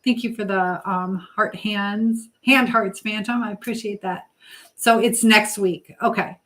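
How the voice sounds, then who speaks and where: A woman hosting a live chat, speaking earnestly and haltingly with pauses as she gives advice and encouragement to viewers. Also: mid-range voice, fairly even intonation, fairly bright tone. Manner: religious encouragement. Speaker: live stream host.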